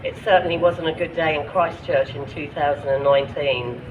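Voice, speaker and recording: A man with a London accent, from Ireland, reading his own poetry at a public gathering with passion and deliberate rhetorical questions. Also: on the high side, fairly even intonation, phone-quality sound, background noise. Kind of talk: spoken-word poetry reading.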